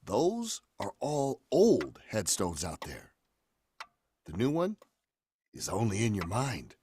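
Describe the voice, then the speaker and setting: A man's voice: on the low side, huge pitch swings, takes it slowly, warm tone, a little hiss or hum, heavily acted. An actor playing a hard-nosed, skeptical male estate agent in dramatic, emphatic old-time radio horror style.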